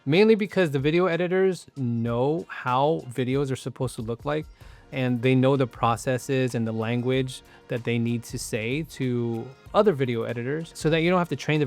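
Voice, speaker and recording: A middle-aged American man from the Bay Area giving casual, explanatory advice straight to the viewer as a content creator. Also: medium pitch. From San Francisco Bay Area, US. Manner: conversational, explanatory, addresses viewer directly. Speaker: content creator, video host. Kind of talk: casual instructional talk to camera.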